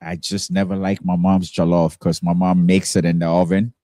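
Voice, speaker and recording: Man from Ghana, very low voice, easygoing, chuckling, dry studio sound. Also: level tone, moderate pace, no room sound. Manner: warm, easygoing, chuckles often. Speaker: guest, nonprofit founder. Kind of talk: casual interview chat. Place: radio studio.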